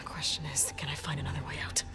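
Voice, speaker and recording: An actress playing a defiant, determined young female warrior in a cinematic video game, sharp-tongued and given to muttering. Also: low-pitched, little pitch movement, quick pace, loud music behind the voice, close to an everyday voice. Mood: tense muttering.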